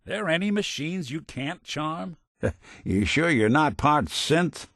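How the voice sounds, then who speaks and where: An actor playing a world-weary, wisecracking android detective in a video game, hardboiled but kind, with dry old-fashioned gumshoe delivery. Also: male voice, fairly high pitch, very animated, slow pace, gravelly, a bit bright, no echo, heavily acted. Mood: dry amusement. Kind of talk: game character voice lines.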